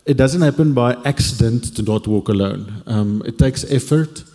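A man in his forties speaks with a mellow, dark tone and a reflective, hesitant manner. His words trail off in a long hall reverb. He shares personal stories candidly on a church panel. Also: medium pitch, moderate pace, faint music behind. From Cape Town, South Africa. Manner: reflective, hesitant, many fillers. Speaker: panel guest.

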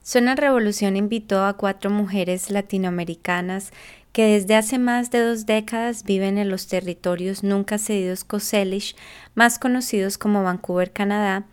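A woman reads a scripted introduction at a steady, measured pace. Her voice is smooth and clean. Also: mid-range voice. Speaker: series narrator. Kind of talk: scripted narration.

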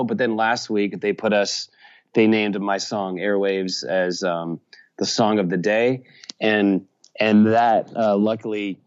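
Rambling low-voiced man, dull audio. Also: darkish tone. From Virginia. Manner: rambling, many fillers and restarts. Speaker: musician and journalist. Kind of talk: casual interview answers.

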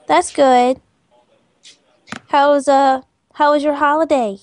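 High-voiced woman making a prank call. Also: steady tone, takes it slowly, darkish tone, no echo. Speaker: prank caller. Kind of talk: casual prank phone call.

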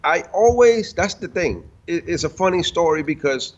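A man in his fifties, born in the Dominican Republic and raised in Puerto Rico, talking as a car-builder guest in a casual interview, halting and full of restarts. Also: fairly high pitch, animated. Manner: halting, many restarts, laughs between stories. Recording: dull lo-fi sound.

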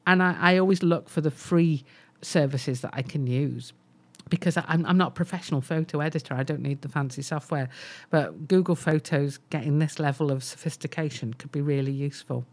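A woman's voice: deep voice, brisk, a little mellow. A woman co-hosting a business podcast, explaining tech features enthusiastically and thinking aloud with restarts. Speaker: co-host. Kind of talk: casual business podcast chat.

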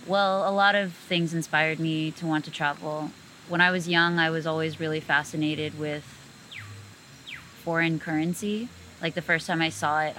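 A woman from the United States talks in a casual conversation, fluent and earnest, with a fair number of "like" fillers. Her voice sits low but has a bright, clear edge. A faint hiss runs under the recording. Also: low-quality recording.

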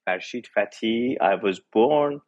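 A man in his forties from Iran with a Persian accent, a pastor telling his personal story earnestly as a podcast guest, pausing often to find his words. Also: lowish voice, lo-fi sound. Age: 40s. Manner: earnest and reflective, with frequent hesitations. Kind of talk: personal testimony in an interview.

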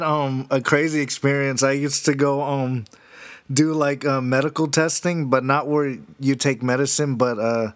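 A man's voice: medium pitch, no echo. A male podcast host chats loosely, stumbling through stories with plenty of fillers. The recording is dry and close, with no room around it. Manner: loose, rambling, lots of fillers. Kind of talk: casual interview questions.

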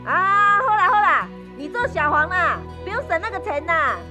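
A woman acts out a playful young woman in an audio drama. Her voice is extremely high and bouncy, full of teasing, over a music bed. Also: animated, moderate pace.

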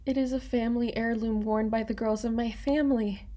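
An actress playing a hesitant, wounded granddaughter in a radio play, careful and emotionally restrained. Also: female voice, fairly high pitch, fairly even intonation, brisk, dark tone, close to an everyday voice. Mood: tender, sorrowful. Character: hesitant, wounded granddaughter, reading old letters aloud tenderly.

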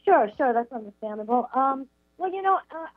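High-voiced New York woman on the phone. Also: lively intonation, brisk, dry recording. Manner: chatty, with frequent ums. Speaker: guest. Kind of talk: telling her life story. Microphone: phone call. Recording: tinny phone line.

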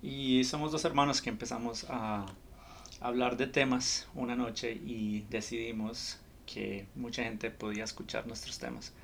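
A man's voice: medium pitch, steady tone, unhurried, creaky, a bit bright, slight background noise. A man in his early thirties from Colombia, with a Colombian accent, talking casually as a podcast co-host.

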